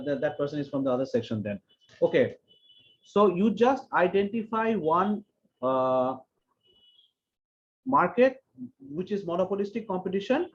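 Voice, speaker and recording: A man giving an online economics lecture, explaining step by step and slowly repeating key phrases. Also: fairly high pitch, highs cut off, slight background noise.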